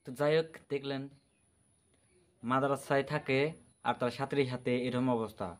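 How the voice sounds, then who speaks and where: A man's voice: medium pitch, fairly even intonation, normal speed. A man giving informal commentary on a recording.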